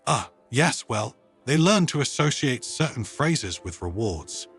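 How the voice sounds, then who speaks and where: A man's voice: medium pitch, sing-song, measured pace, a bit bright, breathy edge, soft background music, exaggerated voice. A man voicing an earnest woman who gives formal, explanatory presentations in a narrated story. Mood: flustered. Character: earnest woman presenting her pet to an audience.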